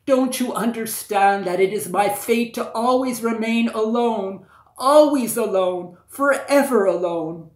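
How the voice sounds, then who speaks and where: A plaintive young princess acted by a woman storyteller calls out in an echoey space. Her voice is raised high and loud, drawing out and repeating her words like someone resigned to a lonely fate in a folktale. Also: close to an everyday voice. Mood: anguished. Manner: crying out, drawn-out, repetitive. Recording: echoey, roomy sound.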